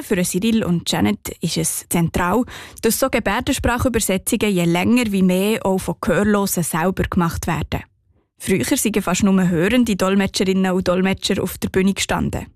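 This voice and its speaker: A woman's voice: medium pitch, normal speed, a little mellow, dry recording, clean studio sound. A woman with a Swiss German accent narrating a scripted radio report.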